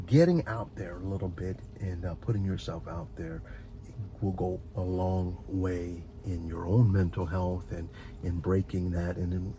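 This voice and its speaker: A man with a dark, fry-laced voice speaks over a faint low rumble. He talks off the cuff like a video host giving advice, addressing the listener directly and earnestly. His delivery is halting, with repeated words and fresh starts. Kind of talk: rambling, unscripted advice talk.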